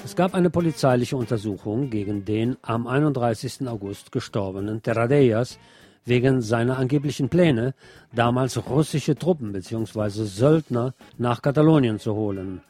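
A man's voice: medium pitch, warm tone. A man presenting scripted news as a commentator, with a sardonic, ironic manner. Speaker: presenter of a local news programme.

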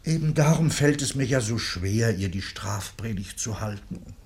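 An elderly country clergyman for a radio play, in a fairly low voice. He speaks with avuncular fondness and gentle hesitation, a devoted and indulgent old husband. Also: expressive, moderate pace. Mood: fond, playful. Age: older. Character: kindly old married provost, indulgent and affectionate. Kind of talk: German literary radio drama acting.

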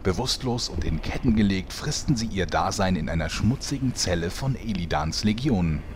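A man's voice: sits low, lively intonation, moderate pace. A man giving scripted, announcer-like narration for a comedy audio play. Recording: light background noise.

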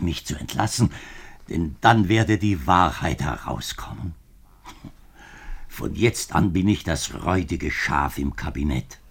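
An actor playing an anxious middle-aged government minister, husky and halting, in a 1950s German radio drama. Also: male voice, deep voice, heavily acted. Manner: halting, agitated, formal.

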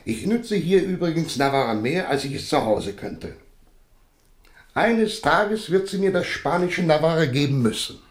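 Vain, ambitious nobleman for radio drama: very lively intonation, lofty, in a roomy echo. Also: a little high, a little slow. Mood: mildly defensive. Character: vain, ambitious royal husband, turning domineering. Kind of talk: German radio play acting.

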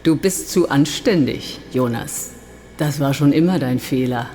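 Rebel commander, low pitch, cool mockery, self-assured, declamatory, radio drama, echoing hall. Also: female voice, unhurried, a little mellow. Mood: mocking, commanding. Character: commanding, coolly sardonic female urban guerrilla leader. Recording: long reverb of a large hall.

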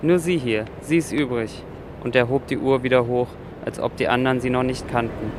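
A man's voice: steady tone, brisk. A man speaking in a reflective, literary style. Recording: noisy outdoor urban ambience, traffic rumble.

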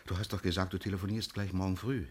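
An actor playing an anxious, pleading pharmacist in a secret affair, in a 1960s German radio crime play. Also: male voice, sits low, level tone, quick pace, a little hiss or hum, lightly acted. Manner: urgent, pleading, hushed on the phone.

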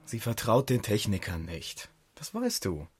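An actor playing an easygoing, teasing technician on a cyber agent's team in a radio drama, casual and wry. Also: male voice, fairly low pitch, wildly swooping pitch, unhurried. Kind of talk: German radio drama acting.

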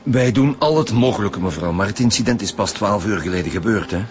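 Male chief inspector for radio drama, actor's role: low register, no-nonsense, clipped orders, faint music underneath. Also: expressive, brisk, lightly acted. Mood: formal, firm. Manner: direct, commanding, terse.

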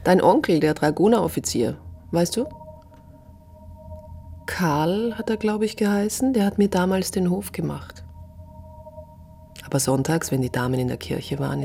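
An actress playing a coarse, teasing village prostitute in a radio drama, with a husky, drawling, chuckling delivery. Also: female voice, low-pitched, darkish tone. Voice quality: husky, breathy. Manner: drawling, taunting, breaks into chuckles and half-whispers.

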